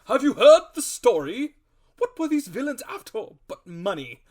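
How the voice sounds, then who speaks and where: A man's voice: very high voice, sing-song, normal speed, darkish tone, voice pushed up, exaggerated voice. A man voicing a bluff, pompous, hot-headed country squire in a storybook reading, stately and exclamatory. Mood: excited, blustering.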